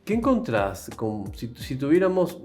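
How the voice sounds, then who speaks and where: A man's voice: on the high side, sing-song, normal speed, darkish tone. A man hosting an interview, asking long questions with many hesitations and repeated words.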